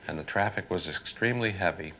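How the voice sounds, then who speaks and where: Male air traffic controller, low forceful voice, deliberate interview delivery, faintly noisy 1970s archive film sound. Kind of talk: interview, recounting work experience.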